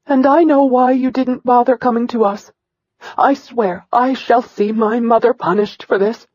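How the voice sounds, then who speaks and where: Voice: medium pitch, fairly even intonation, unhurried, a little mellow, no room sound, dull audio, voice pushed up, natural voice. A narrator voicing a distraught royal mother whose voice quivers. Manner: quivering, upset.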